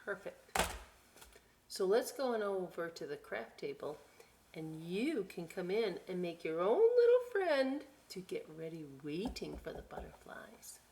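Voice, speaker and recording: A woman hosting a storytime for young children, reading expressively with drawn-out words and chatty, encouraging asides. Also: on the low side, big pitch swings, dark tone, a little hiss or hum. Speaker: children's library storytime host.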